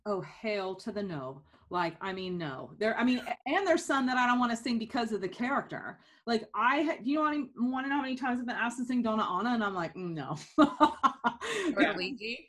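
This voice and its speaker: A woman's voice: on the high side, animated, brisk, a bit bright. A woman co-hosting a casual chat on a video call, emphatic and playful. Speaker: co-host.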